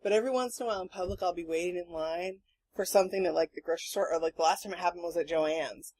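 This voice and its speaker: A woman hosting a podcast in casual chat, telling rambling stories in an animated, sweary way. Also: fairly low pitch, very fast, rough recording. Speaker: podcast host.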